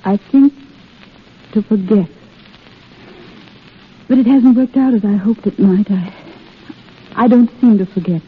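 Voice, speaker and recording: An actress playing a guarded, wistful young wartime nurse falling in love, in 1930s radio drama style. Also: female voice, mid-range voice, a little slow, long pauses, husky. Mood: wistful, grieving. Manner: hesitant, wistful, earnest. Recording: muffled tinny old radio recording, faint music.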